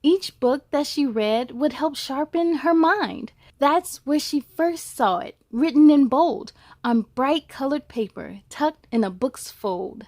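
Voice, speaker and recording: A woman's voice: sits high, animated, takes it slowly, darkish tone, no echo, clean studio sound. A woman hosting a children's read-aloud, enthusiastic and speaking straight to young listeners, with storybook reading and chatty asides.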